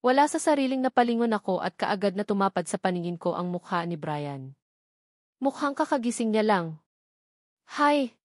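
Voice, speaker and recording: A woman's voice: mid-range voice, expressive, a little fast, a little mellow, no room sound, studio quality. A woman reading a romance novel aloud as a scripted first-person narration. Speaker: audiobook narrator.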